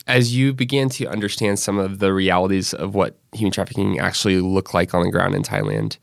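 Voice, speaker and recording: Low-voiced man hosting a podcast. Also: creaky, no room sound, studio quality. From Northwest Arkansas. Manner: measured, scripted intro then conversational questions. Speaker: podcast host. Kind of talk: podcast interview.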